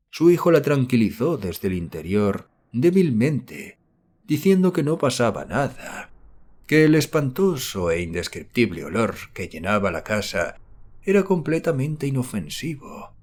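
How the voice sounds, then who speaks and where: Measured male audiobook narrator. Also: medium pitch, a little mellow. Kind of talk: scripted narration of a horror novel.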